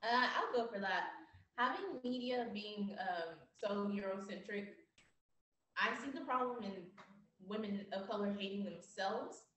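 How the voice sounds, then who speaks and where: A young woman from California, a guest in a casual video-call discussion, speaking in a relaxed, matter-of-fact way. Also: medium pitch, a little slow. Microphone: webcam on a video call. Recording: very echoey room, far from mic.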